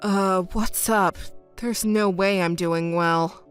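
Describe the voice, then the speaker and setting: A woman's synthetic voice playing an evasive, sheepish man who cheated on his girlfriend, pleading slowly in an audio drama. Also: medium pitch, steady tone, clear, resonant tone, soft background music, pitched below the performer's own voice, natural voice.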